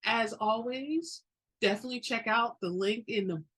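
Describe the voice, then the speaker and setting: Bright-voiced woman reading with dramatic flair. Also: on the high side, moderate pace, breathy edge. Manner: expressive, theatrical delivery. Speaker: book reader and channel host. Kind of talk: dramatic audiobook narration.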